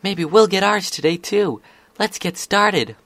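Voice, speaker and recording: Eager, caring girl pony for a storybook, raised pitch man doing the voice. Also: normal speed, vocal fry, pushed and bright, no echo. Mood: excited. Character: caring, excitable young female pony filly.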